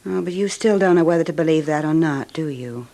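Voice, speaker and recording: An actress playing a world-weary, scheming unfaithful wife with a languid, intimate manner in a 1970s radio drama. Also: female voice, sits low, a little fast, dark tone. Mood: coaxing.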